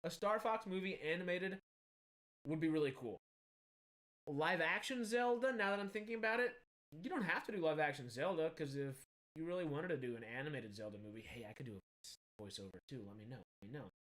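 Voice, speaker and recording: A man in his thirties with a slight Texan accent, hosting casual film news commentary in an animated, rambling, emphatic way. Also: a little high, a little fast. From Texas. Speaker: film news show host.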